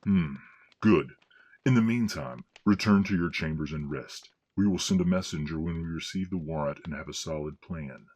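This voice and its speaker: A very low male voice for a stern, commanding superior acted by a man in an audio drama. He speaks formally and deliberately, every phrase an order. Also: a little mellow.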